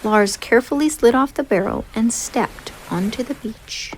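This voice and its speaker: A woman reading a picture story aloud for children in an expressive narrating style. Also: a little high, moderate pace, slight background noise, rough recording. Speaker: storybook reader.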